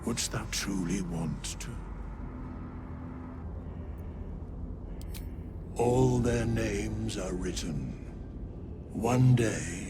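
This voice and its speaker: Slow, gravelly ancient keeper of the dead. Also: male voice, on the low side. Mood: solemn, consoling. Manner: grave, deliberate, formal pronouncements. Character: ancient, solemn undead scribe and keeper of the dead. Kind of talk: archaic, ceremonial fantasy game acting.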